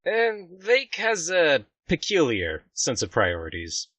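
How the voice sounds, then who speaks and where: A man playing an earnest, good-natured young prince, considerate and measured, in a fantasy audio drama. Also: a little high, wildly swooping pitch, fairly bright tone, no echo, heavily acted. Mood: good-humoured.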